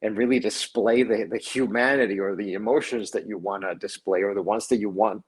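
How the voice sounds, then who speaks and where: A man's voice: on the low side, brisk, breathy edge. A man from Mexico, an actor guest in a casual interview, telling stories animatedly and acting out the voices of people he quotes, with frequent laughs. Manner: animated storyteller who acts out quoted voices, laughs often.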